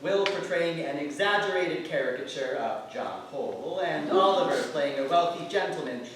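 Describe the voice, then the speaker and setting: A man's voice: a little high, animated, normal speed, a bit bright. A man giving theatrical scripted narration as an actor reading a play synopsis. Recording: echoey hall from far off, faint noise.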